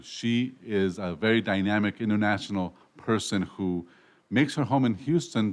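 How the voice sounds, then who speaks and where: A man hosting a casual radio interview show, rambling with frequent restarts and self-corrections. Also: on the low side. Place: radio studio.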